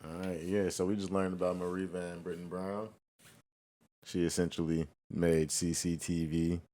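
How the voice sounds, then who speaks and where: A man around thirty hosting a podcast, giving easygoing recaps and quick reactions in casual chat. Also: low voice, mellow. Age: 30s. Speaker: podcast host.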